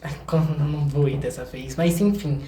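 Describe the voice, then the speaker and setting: A man's voice: fairly high pitch, steady tone, brisk, dark tone, a little hiss or hum. A young Brazilian man chatting casually and effusively as an interview guest, often repeating words for emphasis. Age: young adult. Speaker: singer-songwriter guest. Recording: echoey room.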